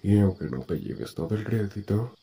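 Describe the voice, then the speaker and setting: Male actor as menacing animated villain, slow and sure of himself, deep creaky voice, Latin American accent. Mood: smug. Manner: slow, calm, self-assured. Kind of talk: amateur animated fandub acting.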